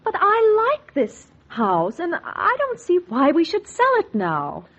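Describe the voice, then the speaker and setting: An actress playing a practical, outspoken wife in a 1940s radio drama. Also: female voice, very high-pitched, huge pitch swings, slow, a bit bright, exaggerated voice. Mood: reluctant. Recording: noisy, dull vintage 1940s radio recording.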